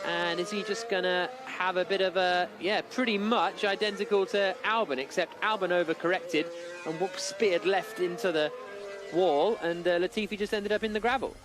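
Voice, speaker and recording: A man's voice: high voice, steady tone, moderate pace, music in the background. A man giving live commentary on a motor racing session as the lead commentator.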